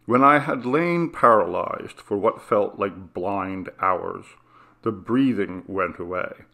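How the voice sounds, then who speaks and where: A man narrating a classic ghost story in a measured, hushed reading style. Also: fairly low pitch, creaky. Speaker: audiobook narrator.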